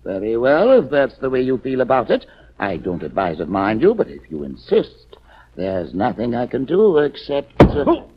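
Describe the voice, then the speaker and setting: A muffled, noisy old radio recording of a sinister doctor played by a man, courteous and unctuous. His pitch swings dramatically as he soothes and menaces in 1940s radio drama fashion. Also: big pitch swings, moderate pace, close to an everyday voice. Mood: cool, firm.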